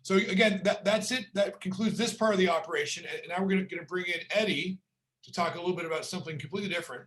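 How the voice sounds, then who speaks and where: A man's voice: sits high, very fast, a bit bright. Wry, stammering man hosting a webinar. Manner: stammering restarts, wry asides. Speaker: webinar host.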